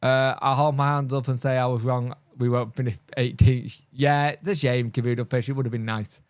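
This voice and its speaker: A man's voice: mid-range voice, little pitch movement, fairly quick, clean tone, no room sound. A man with a mild speech impediment, tired, hosting a casual live stream and chatting bluntly through viewer comments with lots of fillers and restarts. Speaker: football fan channel host.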